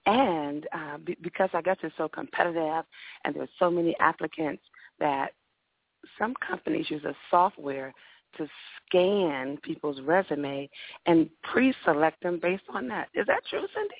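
A woman with a raspy voice hosting a radio talk show in a studio, upbeat and often repeating her words. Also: low voice, very animated, normal speed, no room sound, phone-quality sound.

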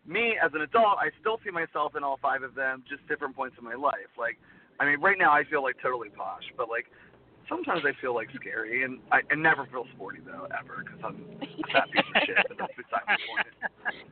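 A man in his thirties, a guest performer, chatting in a rambling way with a voice worn low from screaming. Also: sing-song, a little fast. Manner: rambling, heavy use of "like". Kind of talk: casual interview chat. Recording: tinny phone-like line, faint noise.

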